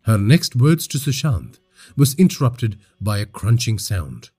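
A man from India narrating a scripted horror story in a husky voice, with dramatic, suspenseful delivery. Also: mid-range voice, sing-song, measured pace, dark tone, soft background music.

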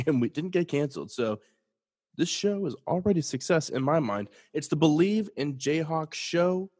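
A man's voice: a little high, a little mellow. A young man with a stuffy, sniffly nose hosting a solo sports podcast, talking casually and joking at his own expense. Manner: casual, jokey, restarts and self-deprecating asides. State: congested, sniffly. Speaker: podcast host.

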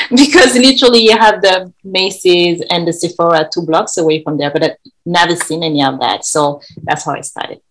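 A woman's voice: fairly low pitch, normal speed, a bit bright, rough recording. A woman from Senegal, a guest in a casual interview, telling stories in a chatty, eager way. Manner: chatty, enthusiastic storytelling. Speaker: guest, event co-founder. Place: lives in Korea.

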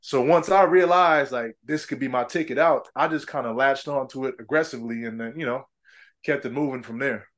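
A man's voice: mid-range voice, brisk. A middle-aged man from Trinidad, a former pro basketball player, chatting casually as an interview guest, laughing often and saying "man" and "bro".

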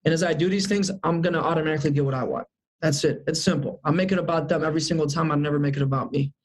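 Upbeat man in his thirties, slightly high. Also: fairly even intonation, racing. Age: 30s. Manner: upbeat, emphatic, repeats phrases for emphasis. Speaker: sales manager guest. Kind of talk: casual interview chat.

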